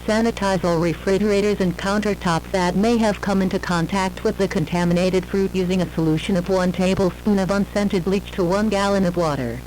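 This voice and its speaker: Emergency alert announcer, mid-pitched, formal scripted read, measured delivery, slightly noisy recording. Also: a little mellow.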